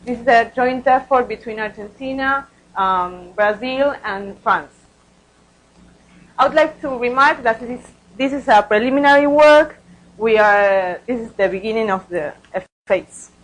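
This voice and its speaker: High-voiced woman giving a halting research talk. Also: lo-fi sound. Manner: hesitant, halting delivery with repeated words. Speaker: researcher presenting study results. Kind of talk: conference research presentation.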